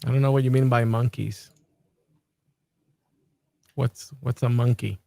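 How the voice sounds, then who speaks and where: A man from Colombia who talks in a measured, thoughtful way, with some restarts, in a casual podcast conversation. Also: mid-range voice, fairly even intonation, vocal fry, mellow, no echo. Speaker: podcast host.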